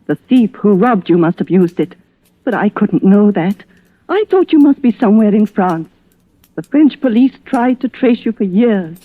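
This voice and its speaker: An actress plays a middle-aged long-lost wife, tender and anxious. Her delivery is earnest radio melodrama at a middling pitch, heard through a thin, phone-like vintage recording. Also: lively intonation, moderate pace, no echo, lightly acted. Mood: emotional explaining. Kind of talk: 1940s radio melodrama acting. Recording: muffled, narrow old radio recording.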